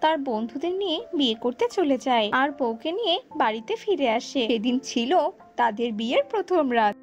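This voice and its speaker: A woman's voice: high voice, lively intonation, a little fast, faint music behind. A woman narrating a scripted cartoon folk tale, on a dull lo-fi recording.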